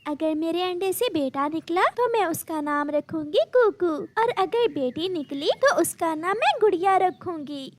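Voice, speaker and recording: Lady doing a little cartoon mama bird, super high voice, pleading and anxious, really lively pitch. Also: a little slow, few pauses, noisy background. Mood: hopeful. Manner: plaintive, insistent. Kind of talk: children's cartoon story acting.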